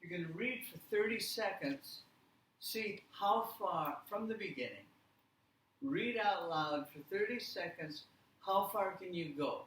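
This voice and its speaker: A man teaching a live workshop, giving deliberate, simple instructions with repetition and check questions. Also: high-pitched, animated, fairly bright tone. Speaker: language teacher leading a workshop. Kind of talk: live classroom instruction with simple step-by-step directions. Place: indoor classroom or presentation room. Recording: echoey room, distant mic, faint noise.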